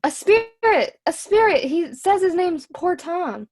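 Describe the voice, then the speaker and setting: A woman playing a king's skittish, teasing jester, excitable and jumpy, in an amateur Shakespeare reading. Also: a little high, a little slow. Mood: frightened.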